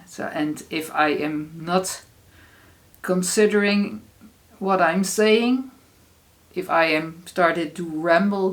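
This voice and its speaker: A woman from the Netherlands with a Dutch accent, speaking English as a second language as she hosts a casual solo craft podcast, with many fillers and restarts. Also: low voice. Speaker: podcast host.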